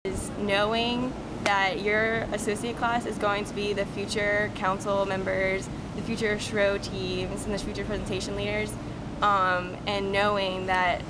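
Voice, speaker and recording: A young woman talks casually in an interview, voice at an ordinary middle pitch, slipping into quoted remarks as she goes. Loud outdoor city and vehicle noise sits heavily around her. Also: level tone, measured pace. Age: young adult. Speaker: university student ambassador.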